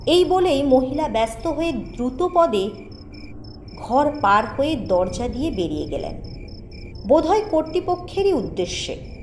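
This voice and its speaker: A woman with a high voice tells an eerie ghost story at a slow, deliberate pace. Her delivery is expressive, with dramatic pauses. The voice carries an echo over a music bed. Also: a little hiss or hum. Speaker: audio story narrator.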